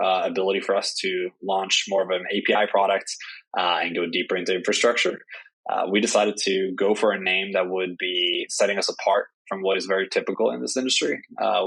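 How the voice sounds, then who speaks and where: A man's voice: deep voice, a bit bright, slightly rough, poor audio. A man in his twenties from Norway, a startup CEO answering interview questions with frequent "uh" fillers. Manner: hesitant, many "uh" fillers.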